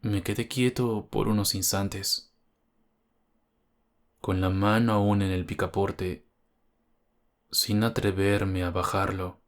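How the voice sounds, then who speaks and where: Man, horror story narrator, low voice, scripted first-person reading. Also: flat delivery, slow pace, long pauses.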